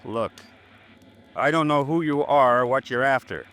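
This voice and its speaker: A man's voice: mid-range voice, fairly bright tone, close to an everyday voice. Blustering, easily rattled radio-drama man acted. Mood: defensive, angry. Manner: blustering, halting. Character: hot-tempered, defensive man, easily rattled. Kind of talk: 1950s radio drama acting.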